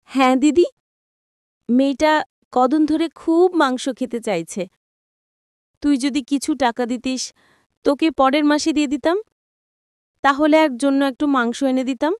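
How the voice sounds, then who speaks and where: A woman's voice: high voice, measured pace, long pauses, no room sound, studio quality. A woman voicing a poor, worried, humble village mother in a plaintive way for a cartoon. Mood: pleading. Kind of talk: Bangla cartoon story dubbing.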